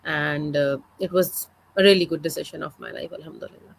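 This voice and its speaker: A woman's voice: low-pitched, a little fast, creaky, a little hiss or hum. A woman from Pakistan in her thirties, a guest on a video call interview, telling her story in a friendly, fluent way with many filler uhs. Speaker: guest, digital business mentor. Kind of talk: online interview, personal story.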